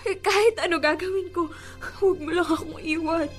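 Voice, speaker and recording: A woman's voice: very high voice, fairly even intonation, brisk, soft background music. An actress playing a devoted, coaxing young girlfriend in a Filipino radio drama, with a Filipino accent. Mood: tearful pleading. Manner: emotive, coaxing, teasing. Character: pleading, playful young girlfriend, devoted and sweet.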